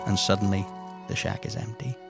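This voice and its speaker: A man runs a tabletop game, narrating vividly to his players. His voice sits fairly low. Music plays clearly underneath him. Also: fairly even intonation, a little fast. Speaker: game master. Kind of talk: dramatic second-person narration of tabletop game. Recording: music bed behind the voice. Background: background music.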